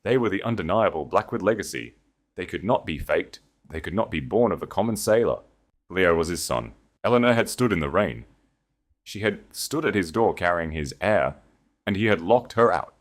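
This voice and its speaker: A man narrating a dramatic historical romance audio story in a measured, scripted delivery. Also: deep voice, lively intonation.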